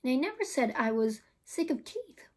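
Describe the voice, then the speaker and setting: A woman's voice: high-pitched, sing-song, moderate pace. A woman reading the part of a practical, firm mother in a storybook, explaining herself.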